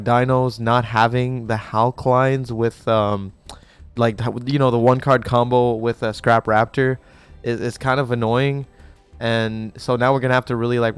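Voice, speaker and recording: A young man hosting a gaming channel, chatting in a rambling, excitable way while sick with a cough, with a mic set close to him. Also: medium pitch, clear, resonant tone, no room sound. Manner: excitable, rambling, swears casually. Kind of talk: casual reaction commentary. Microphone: desk mic set too close.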